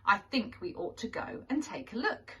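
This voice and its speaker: A woman reading a picture book aloud to young children as a teacher, in an expressive storytelling manner with deliberate pauses. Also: on the high side. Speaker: primary school teacher reading to pupils. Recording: distant microphone.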